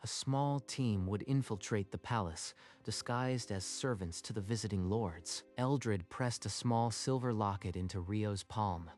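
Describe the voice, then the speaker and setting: Low-voiced man narrating a dramatic story. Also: measured pace, few pauses, a little mellow. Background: background music.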